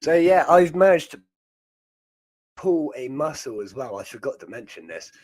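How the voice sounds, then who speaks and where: A man's voice: a little high, big pitch swings, normal speed, a little mellow. A young British man hosting a livestream, chatting in a loose, rambling way with frequent laughs. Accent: British English. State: chest infection. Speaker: host. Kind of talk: casual livestream chat.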